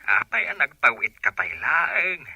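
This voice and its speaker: A man's voice: a little high, very animated, a little slow, vocal fry, pushed and bright, breathy edge, no room sound, highs cut off, full character voice. A man playing a fond, admonishing father who gives fatherly advice in a radio drama. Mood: fond, advising. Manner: fatherly, advising.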